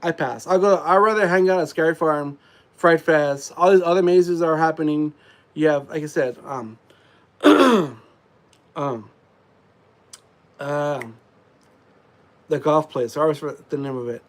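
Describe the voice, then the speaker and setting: Congested male streamer with a slightly high voice, loose rambling delivery full of ums. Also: moderate pace, pauses often. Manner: rambling, frequent fillers and throat clearing. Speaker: live streamer. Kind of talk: casual live stream chat.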